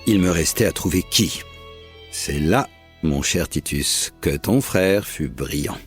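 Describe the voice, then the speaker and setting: An actor playing a proud, methodical Roman prefect narrating his investigation in measured, literary French. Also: deep voice, lively intonation, husky, soft background music, exaggerated voice. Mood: measured recounting. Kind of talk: scripted first-person drama narration.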